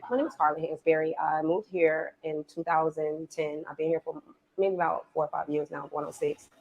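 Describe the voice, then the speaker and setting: A woman's voice: low voice, fairly even intonation, brisk, darkish tone, dull audio. A woman giving a personal account as a resident interviewed for a documentary. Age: adult. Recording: noisy documentary interview clip.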